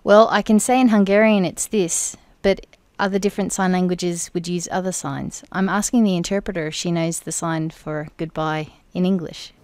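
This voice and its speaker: A woman interpreting for an interview guest in the first person, speaking in measured, deliberate phrases. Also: lowish voice, fairly even intonation, darkish tone, clean tone, dry recording. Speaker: sign language interpreter voicing the guest.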